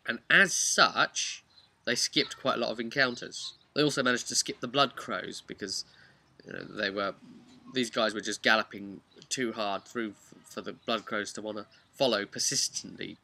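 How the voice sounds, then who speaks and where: A man's voice: fairly low pitch, moderate pace, bright, forceful tone. A man working as a game master, casually recapping a tabletop role-play session in a rambling, conversational way. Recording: clearly noisy, birdsong behind.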